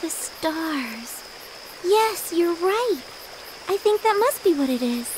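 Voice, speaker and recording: An actress playing a gentle, wistful, polite young girl in a game cutscene, thoughtful in manner. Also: very high voice, lively intonation, slow, background noise, exaggerated voice. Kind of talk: video game cutscene acting.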